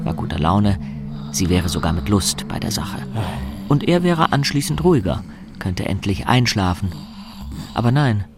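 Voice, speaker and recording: A man reading scripted narration for a radio drama, telling the story in literary prose. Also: mid-range voice.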